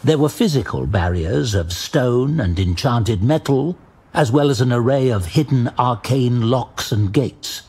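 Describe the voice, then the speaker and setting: A man giving dramatic scripted narration of a fantasy tale in a measured, grave storytelling manner. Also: mid-range voice, expressive, a little mellow, husky. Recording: music behind the voice.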